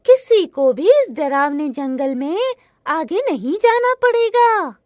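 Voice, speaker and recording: A woman's voice: high-pitched, sing-song, takes it slowly, a little mellow, no room sound, studio quality, voice pushed up, exaggerated voice. A woman voicing a cheerful, contented village man, eager and exclaiming, in a cartoon story. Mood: delighted.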